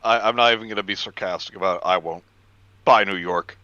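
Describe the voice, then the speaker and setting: A man's voice: lowish voice, fast, pushed and bright. A man heckling as a guest in casual stream banter, recorded on a dull lo-fi voice-chat microphone. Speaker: guest on a group reading stream.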